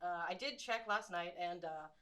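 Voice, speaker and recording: A woman playing in a tabletop game, her voice bright and sharp. She speaks in character with dry, cocky confidence. The talk is casual and unhurried. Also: on the low side. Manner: dry, self-assured, casual. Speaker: player.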